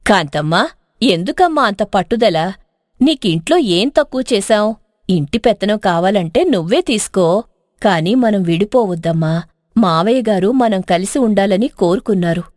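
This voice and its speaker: Woman acting elder sister-in-law, gentle, caring, pleading, lowered pitch, storybook drama. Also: animated, moderate pace, dry recording, studio quality, lightly acted.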